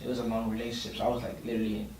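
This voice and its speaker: A man's voice: lowish voice, completely flat delivery, rapid-fire, crowd noise. A man giving a casual podcast interview as a guest, speaking informally with plenty of slang. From Miami, Florida, USA. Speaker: guest singer and producer. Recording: roomy, distant mic, faint noise.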